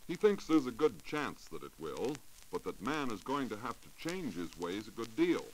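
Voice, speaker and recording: A man's voice: medium pitch, sing-song, moderate pace, low-quality recording. An American man giving an earnest, scripted science report in 1940s radio style. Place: radio studio. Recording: hissy 1940s radio recording.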